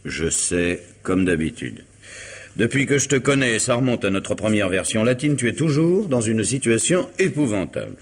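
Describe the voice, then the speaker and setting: An actor playing a suave, middle-aged lawyer in a French radio mystery, wry, urbane and unruffled. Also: male voice, on the low side, very animated, normal speed. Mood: wry, teasing. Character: suave, ironic middle-aged male lawyer, coolly self-possessed.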